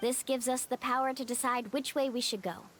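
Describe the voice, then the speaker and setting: High-voiced, confident young guide. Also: female voice, a little fast, fairly bright tone, a little hiss or hum. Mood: reflective. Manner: firm, assured. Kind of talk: video game character acting.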